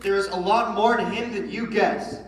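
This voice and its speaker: A woman's voice: mid-range voice, steady tone, moderate pace, lightly acted. A woman playing an assured, scolding fantasy quest leader in a declamatory readers theatre style. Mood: firm scolding. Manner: firm, declamatory. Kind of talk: readers theatre stage performance. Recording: far mic, echoey hall, audience noise.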